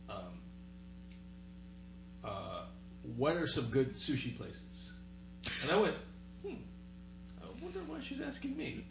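Man from Vietnam, lively storyteller, hum and room echo in the background. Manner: animated storyteller, voice jumps high when exasperated. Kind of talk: casual chat. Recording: roomy with clear background hum.

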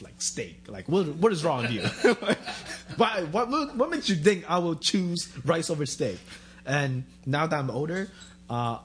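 A man in casual chat, relaxed and joking, dropping his voice low in his asides. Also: a little hiss or hum.

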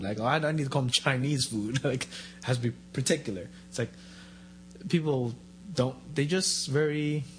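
A man in relaxed conversation, joking about everyday encounters and acting out what people say to him. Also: mid-range voice, a little hiss or hum, poor audio. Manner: joking, self-deprecating, acts out quoted people. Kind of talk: casual conversation.

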